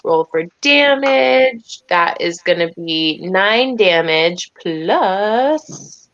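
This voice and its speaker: A woman's voice: mid-range voice, animated, very slow, a bit bright, no room sound, highs cut off. A woman chatting casually as a tabletop game player, playfully thinking aloud. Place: indoors, online voice call.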